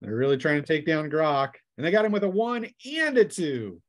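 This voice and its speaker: A man's voice: fairly high pitch, sing-song, normal speed. Man casually running a tabletop game. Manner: casual, conversational. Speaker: game master. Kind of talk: narrating a tabletop role-playing game.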